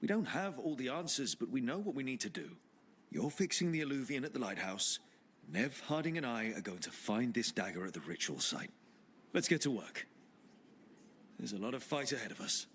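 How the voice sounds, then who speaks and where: A young fantasy game hero, with a mid-pitched voice. He is plainspoken, steady and earnest, a resolute leader. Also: normal speed, slight background noise. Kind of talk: dramatic video game acting.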